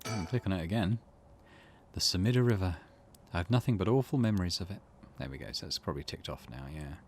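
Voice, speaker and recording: A man's voice: low voice, animated, fast, a little hiss or hum. A man narrating a game video, reading visual novel dialogue aloud as music plays. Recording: loud music behind voice.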